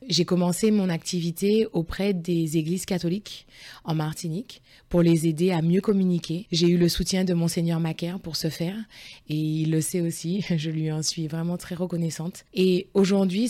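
A woman speaking French in an interview, telling her own story in a candid, expressive and emphatic way. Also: lowish voice.

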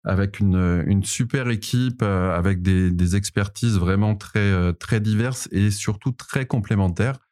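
Hesitant Parisian man, dark low voice. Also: measured pace, hardly any pauses. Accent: Parisian French. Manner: hesitant, many fillers and restarts. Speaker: podcast co-host, data specialist, interviewed guest. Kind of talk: conversational interview answer.

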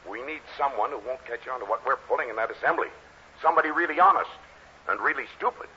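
An actor playing a scheming, brusque political boss in a 1940s radio comedy, with an urgent, cynical manner. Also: male voice, mid-range voice, fast talker, sharp tone. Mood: worried, scheming. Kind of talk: 1940s radio sitcom acting. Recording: dull old 1940s radio recording, faint noise.

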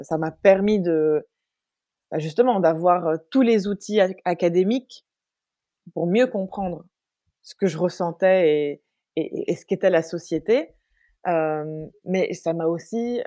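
A young woman from France speaks as an interview guest in a relaxed, conversational way. Her voice is low-pitched and mellow, and she thinks aloud, often hesitating and restarting her sentences. The recording is dry and close. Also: no echo. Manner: reflective, many hesitations and restarts.

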